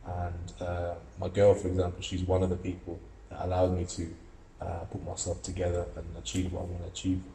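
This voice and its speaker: Low, flat-voiced young man, reflective. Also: brisk, vocal fry, roomy sound, a little hiss or hum, rough recording. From Russia. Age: young adult. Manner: earnest, reflective, many fillers and restarts. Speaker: amateur boxer and university student. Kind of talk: interview answers.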